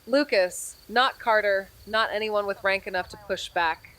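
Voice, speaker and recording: Deadpan, world-weary female noir investigator played by an actress. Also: mid-range voice, moderate pace, lightly acted. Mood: wry, bitter. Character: weary, wry young female amateur investigator narrating a noir mystery. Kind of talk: scripted noir audio drama, narration and dialogue.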